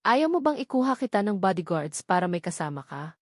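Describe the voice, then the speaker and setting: A woman's voice: medium pitch, big pitch swings, a little fast, darkish tone, no room sound. Lady narrator doing a rich filipino guy, protective and worried, short caring questions, romance story, dry. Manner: short caring questions, mixes English and Tagalog. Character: wealthy man, protective, concerned, generous lover.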